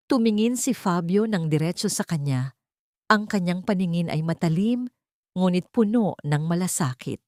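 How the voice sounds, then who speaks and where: Low-voiced woman narrating a drama. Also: warm tone, no room sound, clean studio sound. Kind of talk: scripted dramatic audio story narration.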